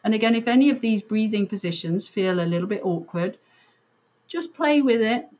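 A woman leading a guided breathing meditation, giving gentle, reassuring, unhurried instructions. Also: mid-range voice, animated.

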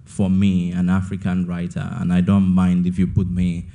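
A man's voice: low voice, completely flat delivery, moderate pace, mellow. A young man in his twenties from Nigeria, an author answering questions in an onstage interview, thoughtful and often repeating words as he finds his point. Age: 20s.

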